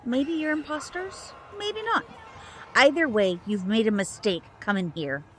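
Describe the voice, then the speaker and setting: Slow, stern protective mother played by a woman. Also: a little high, a bit bright, a little hiss or hum. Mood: stern, suspicious. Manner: stern, sarcastic, deliberate. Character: fiercely protective, suspicious mother and witch. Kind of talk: audio drama acting.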